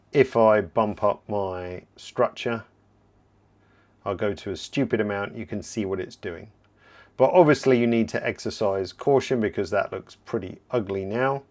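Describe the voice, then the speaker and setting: A man hosting a live photo-editing software tutorial, explaining steps as he works and answering viewer questions, slightly hoarse and clearing his throat now and then. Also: fairly low pitch, a little slow. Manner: relaxed, explanatory, thinking aloud while working. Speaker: software trainer hosting a livestream.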